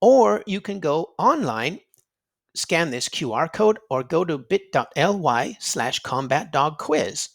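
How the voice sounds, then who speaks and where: A man reading aloud to children in an expressive storybook style, dramatic and emphatic, drawing out words for effect. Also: on the high side, takes it slowly, creaky. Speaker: children's read-aloud narrator and quiz host.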